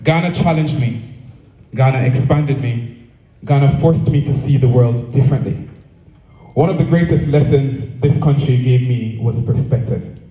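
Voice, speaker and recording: A man with a Jamaican accent delivering a prepared public speech in a measured, rhetorical way, with deliberate phrasing and repetition. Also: mid-range voice, mellow. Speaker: association president giving a speech. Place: speaking at a live event, room echo. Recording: echoey venue, distant microphone.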